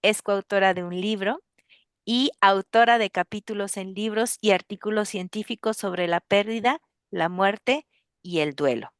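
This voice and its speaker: A woman hosting an interview, earnest and pausing often with fillers. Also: mid-range voice, clean tone, dry recording, clean studio sound. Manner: earnest, with many filler pauses. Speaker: host.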